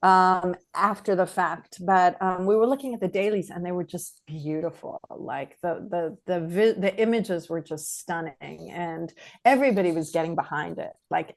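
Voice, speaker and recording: A middle-aged woman from South Africa, a filmmaker guest chatting casually in an interview, rambling with many fillers and restarts. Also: lowish voice.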